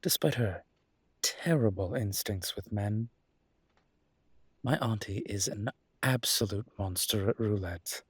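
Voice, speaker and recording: Man playing a low, husky, charming young mafia boss. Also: slow pace, pauses often, no room sound. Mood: wry, fond. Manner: playful, smooth-talking, teasing. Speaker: voice actor in a roleplay audio. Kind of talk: intimate audio roleplay acting.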